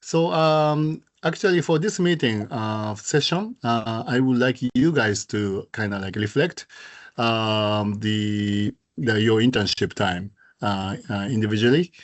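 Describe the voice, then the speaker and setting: A man with a Japanese accent, a native Japanese speaker, hosting an online presentation meeting in halting speech full of fillers. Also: on the low side. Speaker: host.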